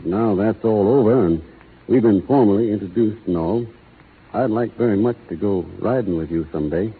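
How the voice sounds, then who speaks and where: An actor playing a laconic young cowboy who talks in a slow, folksy rural drawl, in a 1930s radio drama. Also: male voice, fairly low pitch, husky. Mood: courting. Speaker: lead actor in a radio play. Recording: tinny 1930s radio broadcast with faint hiss.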